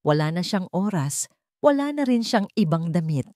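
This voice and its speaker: A woman's voice: fairly low pitch, very animated, moderate pace, warm tone, dry recording, studio quality. A woman reading a scripted dramatic story as its narrator.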